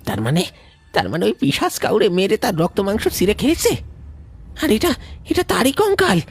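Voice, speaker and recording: A woman playing a frightened young villager in a cartoon ghost story, husky and breathy, speaking in alarm. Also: mid-range voice, wildly swooping pitch, normal speed, soft background music, heavily acted. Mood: horrified. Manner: alarmed, repeating words. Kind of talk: cartoon horror story voice acting.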